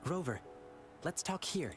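An earnest young lion dancer from an anime game, boyish and wistful. He tells his tale slowly and carefully, with prominent music underneath. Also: on the high side, expressive. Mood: eager, grateful. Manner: earnest, measured, wistful storytelling. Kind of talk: video game story dialogue.

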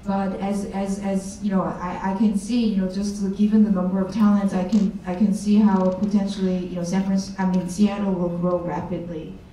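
A woman's voice: medium pitch, flat delivery, normal speed, hardly any pauses, warm tone, a little hiss or hum. A woman speaking as a company co-founder on a panel, with many fillers and restarts, into a handheld mic. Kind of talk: panel discussion answers. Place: large event room with audience. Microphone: handheld mic, far camera. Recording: echoey room recorded from far off.

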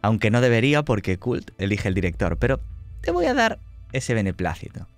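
A man's voice: lowish voice, huge pitch swings, a little fast, no echo. Dramatic male game master with music. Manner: dramatic, suspenseful storytelling. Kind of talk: narrating a tabletop horror roleplaying game.

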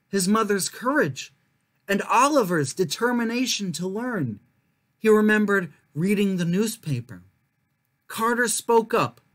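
A young man with a notably high voice hosts a story time for children. He reads aloud carefully, leaning on key words so the listener can follow. He is recorded at a desk in a small room. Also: fairly bright tone. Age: young adult. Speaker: children's storytime reader and host. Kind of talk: reading a picture book aloud to viewers.

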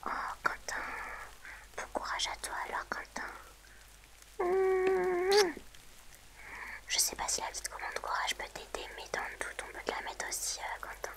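A woman streamer whispering softly and gently to her viewers in an ASMR livestream, close to the mic. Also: very high voice, monotone, brisk, vocal fry.

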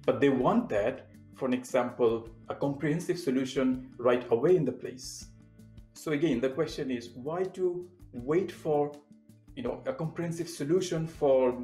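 A young man from Bangladesh, a sustainability consultant, giving a prepared remote talk in a steady, measured way with occasional fillers. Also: on the high side, roomy sound. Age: young adult. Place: indoor room with plain wall.

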